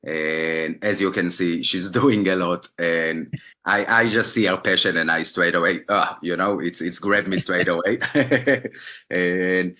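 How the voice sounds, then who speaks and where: A man hosting an online interview, introducing his guest in a friendly, halting way. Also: deep voice, bright, forceful tone. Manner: friendly, halting, filler-heavy delivery. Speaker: interview host.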